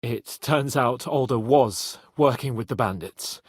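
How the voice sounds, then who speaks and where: An actor playing a proud, hot-headed young nobleman in a video game, with a theatrical, sharp-tongued manner. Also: mid-range voice, measured pace, slightly rough, dry recording, studio quality. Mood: earnest, explaining. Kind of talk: dramatic video game voice acting.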